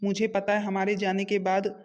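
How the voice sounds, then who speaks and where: Casting: man acting as a caring, principled younger brother for a family cartoon, earnest and slightly high. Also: completely flat delivery, moderate pace, muffled recording, close to an everyday voice. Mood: conceding. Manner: earnest, protesting.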